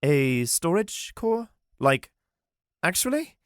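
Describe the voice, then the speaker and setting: An excitable young elf, quick to joke and quick to gasp, as a man reads him in a storybook. His voice sits well above the reader's own and jumps around a lot. The sound is close and dry. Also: on the high side, dry recording, pitched above the performer's own voice. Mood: incredulous. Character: cheerful young male elf, playful and excitable.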